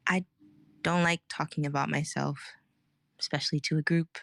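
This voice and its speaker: A woman actor reading a shy, hesitant character in a halting voice. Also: sits low, steady tone, creaky, a bit bright, no room sound. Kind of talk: dramatic script reading.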